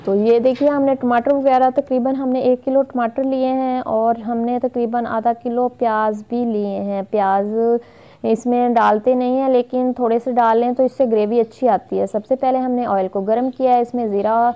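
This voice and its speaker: A woman's voice: a little high, steady tone, moderate pace, highs cut off. A woman hosting her own cooking vlog, narrating a recipe step by step in a chatty, repetitive way with fillers, recorded on a phone in a home kitchen. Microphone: phone camera filming the pot.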